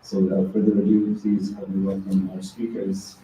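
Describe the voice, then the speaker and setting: A man's voice: low-pitched, completely flat delivery, normal speed. A man opening a university event, polite and matter-of-fact, reading announcements and introducing speakers. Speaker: event introducer, associate professor. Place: university lecture room. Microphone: distant room recording. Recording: echoey room from far off, faint hiss.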